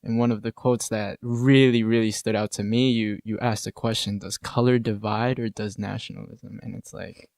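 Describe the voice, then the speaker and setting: A man's voice: fairly low pitch, little pitch movement, normal speed, creaky, hardly any pauses, a little mellow, no room sound, clean studio sound. A man interviewing conversationally, searching for his phrasing and leaning on key words for emphasis.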